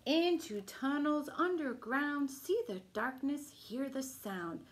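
A middle-aged woman reading a picture book aloud as a children's storytime host, with a deliberate, singsong delivery. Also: sits high, lively intonation. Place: small room at home, indoors. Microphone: camera a few feet away.

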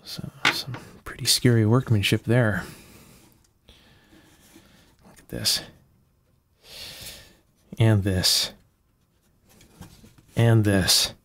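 A man's voice: on the low side, extremely slow, pauses often, a bit bright, dry recording. A man giving casual hands-on repair narration, explaining carefully step by step as he hosts. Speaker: electronics restorer and video host. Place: indoor electronics workshop at a bench.

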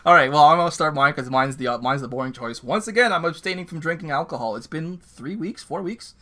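Canadian male co-host, bright, slightly high voice, animated casual ranter. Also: quick pace. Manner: animated, ranting, self-mocking. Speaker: podcast co-host. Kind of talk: casual chat. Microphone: video call.

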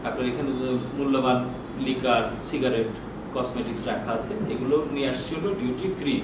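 Fast-talking older Bangladeshi man, very echoey. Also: mid-range voice, fairly even intonation. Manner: asks questions and answers them himself. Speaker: customs bond official giving training. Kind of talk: informal explanatory lecture. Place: small office with bookshelves, indoors. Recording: very echoey, distant mic, noisy room.

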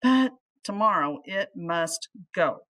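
A woman's voice: fairly low pitch, animated, slow, fairly bright tone, no room sound. Grumpy, emphatic loner man read by a woman. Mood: reluctant. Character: grumpy solitary man who dislikes cats.

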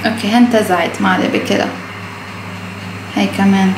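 A woman's voice: mid-range voice, fairly even intonation, fairly quick. A young adult woman with a Lebanese Arabic accent chats casually to camera as a food vlogger, mixing English phrases in and speaking bluntly. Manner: blunt, swears freely. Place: indoors at home, kitchen and living room. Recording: clear background noise.

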